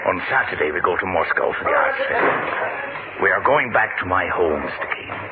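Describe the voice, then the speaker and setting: An actor playing a wary old Russian ballet master and defector in a radio drama, with a Russian accent and a deliberate, formal manner. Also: male voice, sits low, expressive. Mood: grave, fearful. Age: older. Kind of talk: 1970s radio drama acting. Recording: tinny, very noisy old radio recording.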